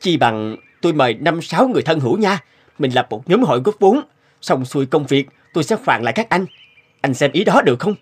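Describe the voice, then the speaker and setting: A man playing an earnest, hopeful, expressive young scholar in an animated moral tale. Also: on the high side, a little fast, breathy edge. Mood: hesitant, pleading. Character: earnest, hopeful young male scholar seeking exam money.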